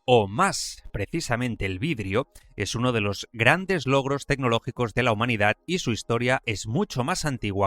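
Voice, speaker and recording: A man with a Spain accent hosting a podcast, reading educational narration in a clear expository way. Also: medium pitch, fairly bright tone, dry recording. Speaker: podcast host.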